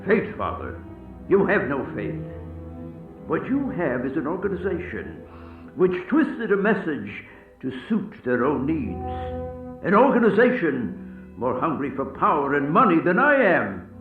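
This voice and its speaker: A man playing an ancient male vampire in a radio horror play, speaking slowly and theatrically, menacing and taunting. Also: fairly high pitch, sing-song, music in the background. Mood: scornful. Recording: tinny band-limited audio, distant, echoey.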